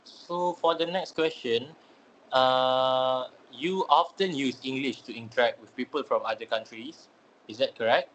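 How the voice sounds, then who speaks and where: A man's voice: mid-range voice, unhurried, a bit bright, muffled recording, a little hiss or hum. A young man from Malaysia, a student asking interview questions hesitantly, with many fillers. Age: young adult.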